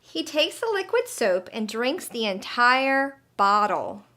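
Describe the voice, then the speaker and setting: A woman's voice: a little high, sing-song, slow pace, sharp tone. A woman narrating a children's story expressively, speaking directly to young listeners as a storyteller. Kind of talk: animated scripted story narration.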